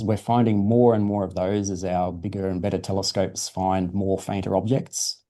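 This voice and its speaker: A man's voice: on the low side, dark tone. A man presenting science, answering questions informally in an encouraging, explanatory way for a young audience.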